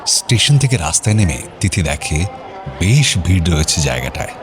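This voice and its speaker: A man's voice: deep voice, very animated, normal speed. A man narrating an audio drama as storyteller, in a dramatic scripted reading style. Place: studio. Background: music.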